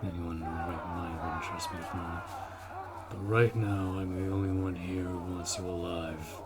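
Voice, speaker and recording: A man's voice: deep voice, steady tone, over loud music, a loud crowd, lightly acted. A man playing a stern, honorable orc warrior commander in a fantasy audio roleplay, speaking in a formal, commanding way. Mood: urgent, gruff. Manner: formal, commanding, measured delivery.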